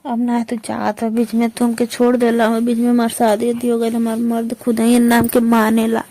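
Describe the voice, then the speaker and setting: A woman's voice: on the high side, completely flat delivery, normal speed, dark tone, no echo. A young woman with a Bhojpuri accent talking casually on a phone call, blunt and dismissive. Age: young adult. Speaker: caller. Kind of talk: casual phone chat with an ex-lover.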